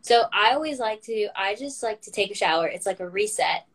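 A woman's voice: medium pitch, expressive, brisk, fairly bright tone. A teenage girl, a guest on a podcast, talking in a hesitant, self-deprecating way in casual chat.

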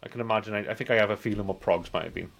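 A man's voice: fairly low pitch, rapid-fire, gravelly. A British man in his forties chatting casually as a podcast co-host, rambling and nostalgic. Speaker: film review podcast co-host. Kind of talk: casual chat.

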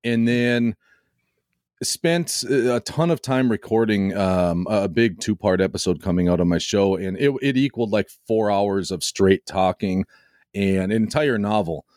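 A man with a lowish, slightly scratchy and hoarse voice talks in a loose, rambling way, often restarting his sentences. His podcast mic gives a close, dry sound with no room in it. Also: moderate pace, darkish tone, no echo. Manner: rambling, hesitant, with frequent restarts. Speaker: podcaster guest. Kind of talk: casual podcast chat. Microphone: home podcast mic.

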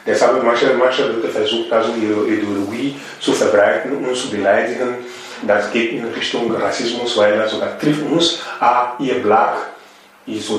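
A man from Togo whose first languages are Ewe and Mina, speaking as an interview guest with frequent fillers and emphatic repetitions. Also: on the low side. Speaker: interview guest, initiative member. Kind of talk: conversational interview. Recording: far from mic, echoey room, faint noise.

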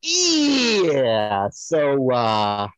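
A man's voice: mid-range voice, huge pitch swings, very slow, fairly bright tone, soft background music. A man co-hosting a comedic podcast skit with a hesitant, needling manner, on a dull, dry microphone. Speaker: podcast co-host and showrunner.

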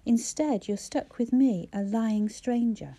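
A woman voicing the heroine, an impulsive woman who speaks emotionally and with a sharp tongue. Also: fairly high pitch, normal speed, pitched above the performer's own voice, lightly acted. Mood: defiant.

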